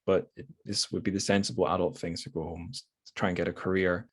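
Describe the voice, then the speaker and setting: Male podcast host, low register, casual literary chat, rambling and self-deprecating. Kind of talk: casual book discussion.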